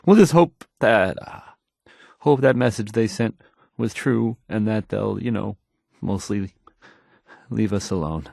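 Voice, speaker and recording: A man's voice: fairly low pitch, gravelly, darkish tone, no echo, studio quality. A man playing a timid, awkward young radio DJ in a video game, hesitant and apologetic as he stammers through the news in a shaky voice. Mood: nervous, tentative. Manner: stammering, halting, apologetic, trailing off. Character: timid, awkward young male radio DJ, anxious and unsure of himself.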